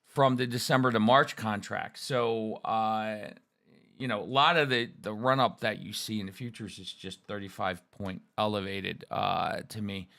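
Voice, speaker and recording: A man with a hoarse, raspy voice from a cold, giving energetic, folksy live market commentary. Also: mid-range voice. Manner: energetic, folksy, lots of fillers. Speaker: trading show guest.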